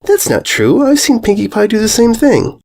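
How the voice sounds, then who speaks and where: A man plays a ditzy young pony mare from a cartoon storybook. The voice is pitched way up and very lively, naive and earnest, confidently making no sense. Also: normal speed, gravelly, full character voice. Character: dim-witted, cheerfully oblivious young cartoon pony mare.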